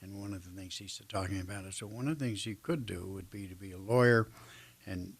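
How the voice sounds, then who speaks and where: A man's voice: deep voice, fast, vocal fry. An older American man chatting as an interview guest, reflective and self-deprecating, feeling his way through his sentences. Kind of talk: casual anecdotal interview chat.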